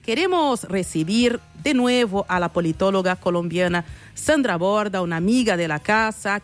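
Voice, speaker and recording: Woman radio host, brisk presenter. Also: medium pitch. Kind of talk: radio show hosting.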